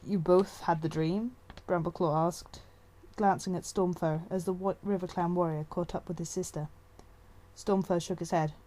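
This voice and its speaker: A woman's voice: on the low side, steady tone, normal speed, warm tone, poor audio. A woman narrating a story as an amateur audiobook reader.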